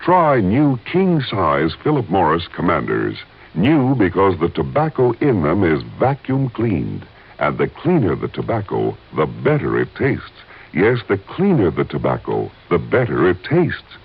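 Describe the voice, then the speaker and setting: Deep, swooping radio ad announcer, male. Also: huge pitch swings, a little slow, slightly rough, phone-quality sound. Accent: American. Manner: emphatic, polished sales delivery. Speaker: commercial announcer. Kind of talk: 1950s radio advertisement read. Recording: thin, band-limited old radio recording.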